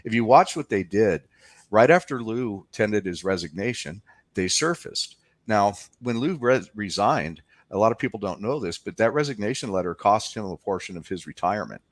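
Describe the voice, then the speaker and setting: A man with a low-pitched voice talks as a guest in a conversational interview. He explains things informally, and the sound is dry and close, without room echo. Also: animated, moderate pace.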